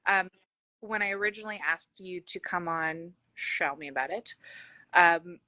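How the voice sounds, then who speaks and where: A woman interviewer with a very bright, forward voice and a slight lisp. She chats casually and effusively, rambling and poking fun at herself. The sound is dry and close. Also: fairly low pitch, normal speed, no room sound, poor audio. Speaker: interview host.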